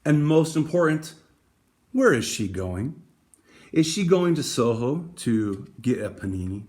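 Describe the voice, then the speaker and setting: A man reading a picture book aloud, with dramatic emphasis and deliberate pauses. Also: mid-range voice, big pitch swings, darkish tone. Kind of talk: expressive storybook read-aloud.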